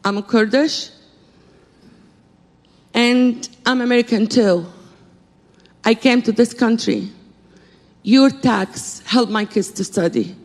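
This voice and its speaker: A woman's voice: fairly high pitch, slow pace, long pauses, darkish tone. A middle-aged woman from Syria, making an earnest, pleading appeal from the floor of a conference in broken English. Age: middle-aged or older. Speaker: audience speaker. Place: conference hall. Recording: reverberant hall.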